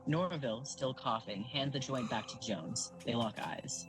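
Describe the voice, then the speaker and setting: A man's voice: medium pitch, a little slow, close to an everyday voice, rough recording. A man reading stage directions as the narrator of an audio drama, in a scripted narration style. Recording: music under narration.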